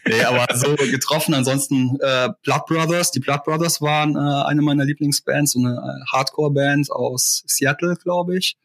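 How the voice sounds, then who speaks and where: A man's voice: a little high, fairly even intonation, moderate pace, few pauses, fairly bright tone. Rambling man, full of fillers. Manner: rambling, many fillers and restarts. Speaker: musician guest. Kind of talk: casual interview chat.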